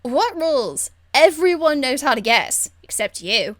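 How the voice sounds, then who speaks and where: A woman's voice: sits high, sing-song, measured pace, no room sound, studio quality, voice pushed up. A woman voicing a cheeky, crude young elf thief in a video game, with a blunt, teasing, informal manner. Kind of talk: video game character acting.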